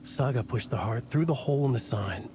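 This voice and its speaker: An actor playing a solemn writer who narrates a horror story in a video game, in short, deliberate sentences. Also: male voice, mid-range voice, a crowd in the background, lightly acted. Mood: ominous, solemn. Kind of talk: scripted literary narration in a game.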